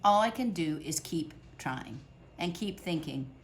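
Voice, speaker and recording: A woman reading a picture book aloud to children at storytime, expressive, with dramatic pauses. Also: on the low side, a little hiss or hum.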